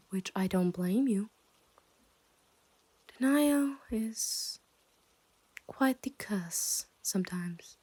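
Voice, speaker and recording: A woman playing a smug, possessive yandere kidnapper in an ASMR roleplay, teasing the listener and drifting into breathy whispers. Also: mid-range voice, dark tone. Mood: smug, hushed.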